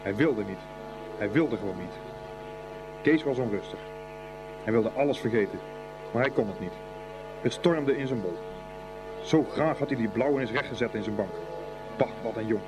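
A man's voice: medium pitch, normal speed, pauses often, music in the background, lightly acted, rough recording. A man narrating a scripted boys' school story for a radio play. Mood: storytelling.

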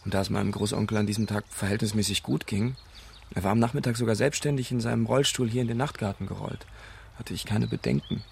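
A man playing a calm, reflective young Englishman giving a witness account in a German radio crime drama. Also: lowish voice, fast, vocal fry, a little hiss or hum. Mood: reflective, measured. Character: young Englishman, dying uncle's observant great-nephew, calmly forthcoming.

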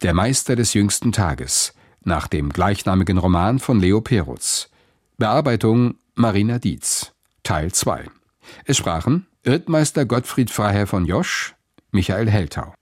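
Male credits announcer, lowish, formal, measured, close dry studio sound. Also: lively intonation, dry recording. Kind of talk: scripted radio credits announcement.

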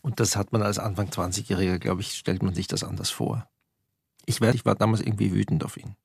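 A man's voice: sits low, fairly even intonation, fast talker, gravelly, a little mellow, husky, no echo, clean studio sound. A man in his forties thinking aloud in a reflective interview, searching for words.

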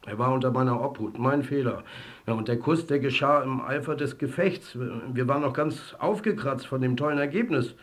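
Actor as a wry, defiant football coach. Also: male voice, mid-range voice, a little fast, lightly acted. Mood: candid, defiant. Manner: dry, sardonic, conversational. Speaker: actor in a radio drama. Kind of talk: German radio drama acting.

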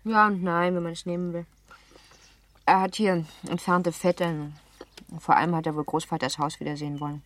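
A woman acting a lively, playful, well-born girl of seventeen in a German radio play, chatty and teasing. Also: fairly low pitch, moderate pace, close to an everyday voice. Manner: chatty, teasing, self-assured.

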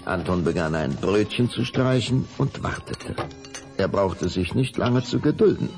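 A deep-voiced man narrates a children's audio play. He speaks slowly and swings widely in pitch, building suspense with dramatic pauses. Faint music sits behind him. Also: lively intonation.

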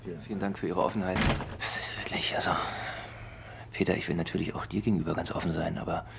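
An actor playing a courteous, probing German magazine reporter in a radio drama, speaking hesitantly and tactfully. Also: male voice, deep voice, steady tone, quick pace, creaky, a bit bright, a little hiss or hum. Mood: tactful, guarded. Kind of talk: naturalistic radio drama acting.

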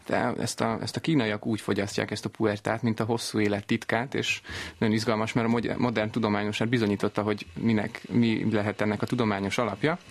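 Even-toned low man, slightly noisy recording. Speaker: studio guest. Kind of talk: conversational explanation. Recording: faint background noise and ambience.

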